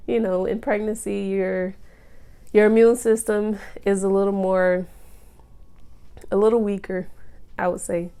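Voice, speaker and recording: Woman vlogger, stuffy and slightly nasal, unhurried chatty delivery with a gravelly edge. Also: medium pitch, flat delivery, dark tone. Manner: chatty, explaining step by step, many ums and you-knows. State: nasal from a cold. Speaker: pregnancy vlogger. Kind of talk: casual chat to camera.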